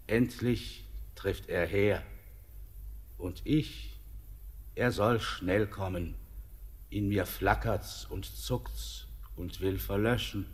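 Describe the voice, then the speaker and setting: Solemn, very slow ancient man. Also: lowish voice. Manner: solemn, declamatory, drawn-out delivery. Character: weary ancient male guide, solemn, disdainful of humans. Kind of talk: classical verse radio drama acting.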